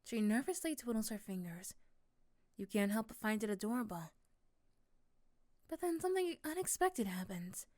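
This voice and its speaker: A woman narrating a scripted ASMR romance in the second person, softly and often dropping to a whisper. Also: fairly high pitch, lively intonation, moderate pace, long pauses, dry recording.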